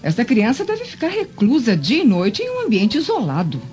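A woman's voice: on the high side, wildly swooping pitch, moderate pace. A spiteful, haughty aunt in a Brazilian-accented radio drama. Her disdainful delivery swoops widely up and down. The sound is dull, with faint music underneath. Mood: condescending. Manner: disdainful, sharp, theatrical. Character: haughty, cruel, spiteful aunt in ancient Jerusalem.

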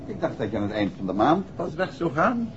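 An actor playing a shrewd, composed male detective in a 1960s radio drama, speaking Netherlands Dutch in a measured, assured way. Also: mid-range voice, big pitch swings, lightly acted. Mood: confronting, composed. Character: shrewd, composed male amateur detective explaining a solved case. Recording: muffled, noisy 1960s radio recording.

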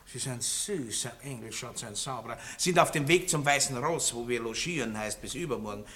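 Ein Schauspieler mit österreichischem Akzent spielt in einem Hörspiel einen großspurigen, lüsternen Landbaron mittleren Alters, der schwadroniert und prahlt. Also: male voice, mid-range voice, brisk, bright, forceful tone, a little hiss or hum, lightly acted. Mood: leering. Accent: Austrian. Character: boorish lecherous middle-aged country baron. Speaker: radio play actor. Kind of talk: 1960s radio drama acting.

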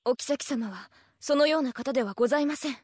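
An actress playing a composed, reserved wife who speaks politely and gently reproves, in an audio drama. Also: female voice, sits high, a little fast, slightly rough, no room sound, clean studio sound. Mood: earnest, polite. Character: composed, polite, perceptive wife of a proud lord. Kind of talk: Japanese audio drama acting.

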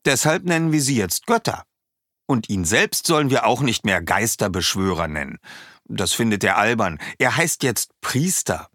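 Man doing an anxious, eager young villager for a storybook; lively, husky. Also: fairly low pitch, moderate pace, no room sound, studio quality, pitched above the performer's own voice. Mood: explaining. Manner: earnest, eager, worried.

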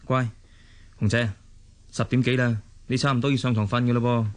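A man's voice: medium pitch, level tone, a little fast, natural voice. An actor playing a tired father home from work, weary and offhand, with a Hong Kong Cantonese accent in radio drama style.

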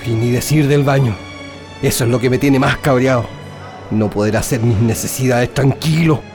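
A man's voice: mid-range voice, normal speed, close to an everyday voice. Male actor as fed-up political prisoner, Chilean accent, radio drama, average register over music.

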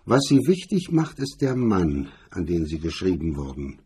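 A man playing a cool, hard-edged blackmailer in a crime radio drama, speaking with cold, taunting calm in a husky, rough voice. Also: sits low, moderate pace, exaggerated voice. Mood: cold, insistent.

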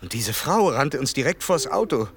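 An actor playing a shaken, devoted rich gentleman in a radio play, speaking in a hesitant, polite and confiding way. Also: medium pitch, sing-song, normal speed, vocal fry, slightly rough, full character voice. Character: shaken, devoted rich gentleman keeping secret night watch. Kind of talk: naturalistic radio play acting.

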